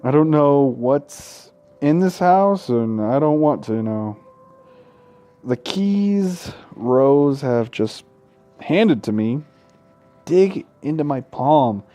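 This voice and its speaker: Mellow young man, halting Let's Play host. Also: a little high, animated. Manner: halting, stumbles over words while reading. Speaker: gaming video host. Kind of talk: casual Let's Play narration and chat.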